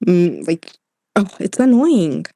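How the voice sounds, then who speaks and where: A woman's voice: fairly low pitch, very animated, takes it slowly, warm tone, no room sound, studio quality. A young woman from Utah whose first language is Spanish, chatting casually on a podcast and filling her speech with "like". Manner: fills speech with "like". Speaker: podcast co-host. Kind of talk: casual chat.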